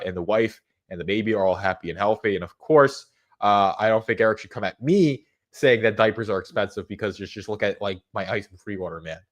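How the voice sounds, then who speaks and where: A man with a congested, stuffy voice hosts a casual livestream, rambling with frequent restarts and fillers. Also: fairly low pitch. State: congested from a cold. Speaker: livestream host.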